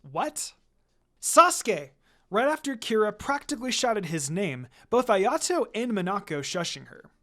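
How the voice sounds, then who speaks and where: A narrator reading fan fiction aloud in a scripted, expressive storytelling style. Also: medium pitch, normal speed, few pauses. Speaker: story reader.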